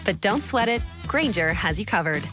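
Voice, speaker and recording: A woman's voice: medium pitch, animated, normal speed. A woman narrating a scripted radio advertisement. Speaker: advertisement announcer. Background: music.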